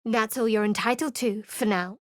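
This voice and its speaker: A woman voicing a guarded, sharp-tongued cleric. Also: a little high, moderate pace, heavy background noise, natural voice. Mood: guarded, wry. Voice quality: dry, clipped and wry. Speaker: game character. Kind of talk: video game voice acting.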